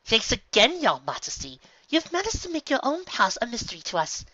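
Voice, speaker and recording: A woman's voice: fairly high pitch, huge pitch swings, a little fast, sharp tone, no echo, pitched above the performer's own voice, exaggerated voice. A woman voicing a brash, cocky female pegasus with a sarcastic, teasing manner. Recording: dull lo-fi recording.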